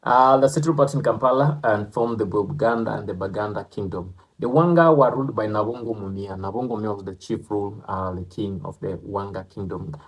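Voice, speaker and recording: A Kenyan man with a Luhya-tinged accent talks loosely about history, circling back and repeating words as he goes. His voice sits fairly low and carries a husky, slightly rough edge. He keeps checking whether his listeners are following him. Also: poor audio. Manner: rambling, repeats and restarts, asks listeners "you get that?". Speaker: channel host. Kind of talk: casual talk on history.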